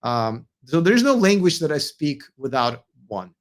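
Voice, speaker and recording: A man's voice: a little high, very animated, a little slow. A man from Russia with a Russian accent, hosting a late-night live stream. He reads viewer comments and answers them, sounding tired, with many fillers, restarts and hesitations.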